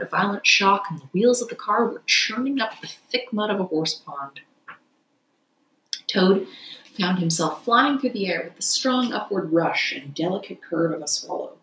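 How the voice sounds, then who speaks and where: A woman's voice: on the low side, fairly bright tone, a little hiss or hum. Woman reading a storybook, roomy echo. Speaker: audiobook reader. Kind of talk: expressive storybook narration.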